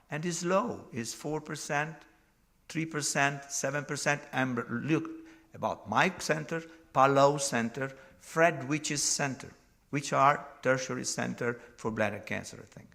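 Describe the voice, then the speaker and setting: Gravelly Portuguese-accented man answering questions. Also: medium pitch, fairly bright tone. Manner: non-native phrasing, restarts, emphatic. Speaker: session chair and speaker. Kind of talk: conference Q&A answer.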